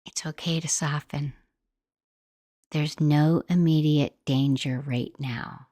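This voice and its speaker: A woman guiding a meditation in a gentle, soothing, unhurried manner, like soft instructional narration. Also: sits low, steady tone, dry recording, studio quality. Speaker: meditation guide.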